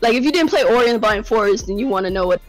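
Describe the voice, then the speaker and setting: A woman's voice: on the high side, animated, racing, music in the background, rough recording. A woman hosting a casual game talk show, blunt and swearing freely. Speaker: podcast host. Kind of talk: casual chat about games.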